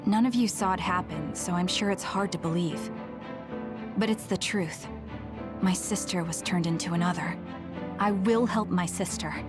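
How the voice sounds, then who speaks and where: An actress playing a blunt, socially awkward young woman soldier in a video game, speaking in a measured, earnest, matter-of-fact way. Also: on the low side, music in the background, lightly acted. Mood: calm, resolute. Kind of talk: English video game voice acting.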